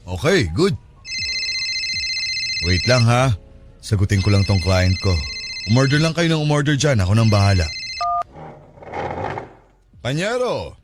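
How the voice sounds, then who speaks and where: A man's voice: mid-range voice, sing-song, unhurried, long pauses. A man playing a confident, moneyed client in a radio drama, breezy and casual. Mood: breezy, assured. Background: loud background music.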